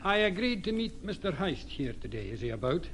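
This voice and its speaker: An actor playing a brisk gentleman visitor in a radio drama. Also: high-pitched, sing-song, slight background noise. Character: brisk male visitor calling at a hotel.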